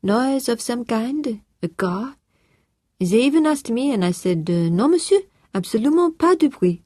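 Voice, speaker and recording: A woman performs a proper older French housekeeper speaking careful, hesitant English with a put-on French accent. The voice rides higher than the narrator's, with a soft, mellow tone. It sounds close and dry. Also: lively intonation, a little slow, no echo.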